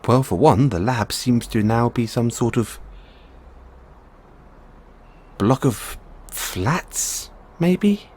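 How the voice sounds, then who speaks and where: Man playing an excitable mad scientist, wildly swooping pitch. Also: takes it slowly, husky, full character voice. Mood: uneasy wonder. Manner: theatrical, geeky, hesitant musing between outbursts. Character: excitable eccentric mad scientist boyfriend with time machine. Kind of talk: audio roleplay acting, addressed to listener.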